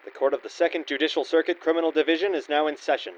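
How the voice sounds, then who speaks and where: An actor playing a formal, solemn court bailiff making announcements in a radio play. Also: male voice, a little high, steady tone, racing, soft background music, close to an everyday voice, low-quality recording.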